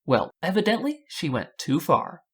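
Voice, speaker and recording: A woman's voice: lowish voice, very animated, moderate pace, slightly rough. A woman narrating scripted fan analysis as a video host, with a wry, rhetorical delivery.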